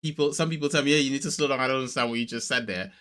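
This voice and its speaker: English-accented trini guy on a podcast, bright voice, rambly with lots of restarts. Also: medium pitch, racing. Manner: talkative, many restarts and fillers. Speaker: podcast co-host. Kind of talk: casual chat.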